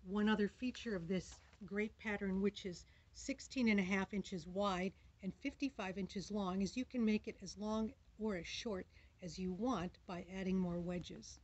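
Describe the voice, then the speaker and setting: A woman's voice: medium pitch, unhurried, darkish tone. An older woman talks through a quilting demonstration in a friendly, enthusiastic way. She stands at a cutting table in a fabric store aisle. The recording sounds slightly dull and lo-fi. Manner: chatty and enthusiastic. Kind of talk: casual product demo. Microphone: camera in front at table.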